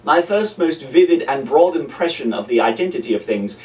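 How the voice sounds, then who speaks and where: A man giving a dramatic first-person reading of a classic novel, with theatrical, deliberate phrasing. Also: on the high side, expressive, far from the mic. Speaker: reader.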